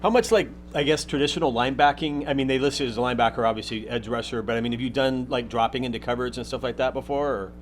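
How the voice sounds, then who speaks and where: Man, sports reporter, quick speech, mid pitch, loose tentative questions, faint noise. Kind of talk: informal press questions.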